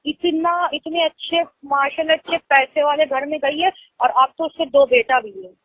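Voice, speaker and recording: High-voiced young woman on a phone call. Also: fairly even intonation, moderate pace, dry recording. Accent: Lucknow Hindi. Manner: explains at length, laughs easily. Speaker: phone caller. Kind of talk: casual phone conversation. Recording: tinny phone call recording.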